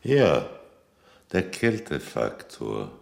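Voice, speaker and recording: Casting: curt, dour writer in a radio play, extremely low voice, very slow delivery. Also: male voice, wildly swooping pitch, gravelly, a little mellow, exaggerated voice. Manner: terse, deliberate, drawn-out answers. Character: taciturn male writer being interviewed, curt and dour.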